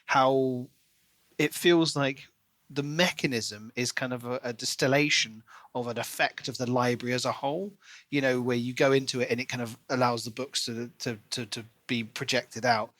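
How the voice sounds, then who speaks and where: A man's voice: mid-range voice, steady tone, normal speed, fairly bright tone, no room sound. Man discussing books, dry close sound. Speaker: podcast co-host. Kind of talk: casual book discussion.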